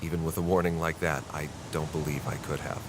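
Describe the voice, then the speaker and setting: A man playing a grave, reflective investigator, narrating a radio drama in a solemn, deliberate way. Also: very deep voice, fairly even intonation, background noise. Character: grave, reflective male investigator narrating Cold War secrets.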